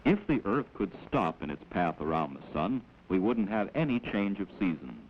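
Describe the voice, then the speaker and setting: Animated low-voiced 1940s American film narrator, male. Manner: measured, explanatory, instructive. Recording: dull, noisy old 16mm film soundtrack.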